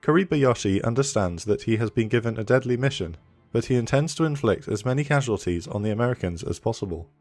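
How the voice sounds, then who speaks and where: A man's voice: medium pitch, animated, fast, darkish tone, dry recording, faint music behind. A man reading scripted narration for a history documentary.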